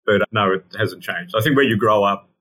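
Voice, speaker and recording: A middle-aged man with an Australian accent, answering interview questions in a casual, halting way and often repeating words while he thinks. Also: fairly low pitch, a bit bright. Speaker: guest scientist.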